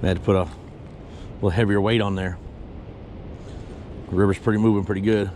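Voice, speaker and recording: A man's voice: lowish voice, level tone, brisk. A middle-aged American man chatting casually to camera in a rambling, folksy way, as an outdoors vlogger. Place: moving truck cabin, then outdoors by a windy river and lakeshore. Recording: loud wind and vehicle noise.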